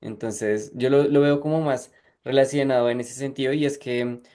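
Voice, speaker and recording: Male teacher guest, mid-pitched voice, reflective, repeats words while thinking. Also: steady tone, normal speed, mellow. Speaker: guest, language teacher and researcher. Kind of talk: casual podcast conversation.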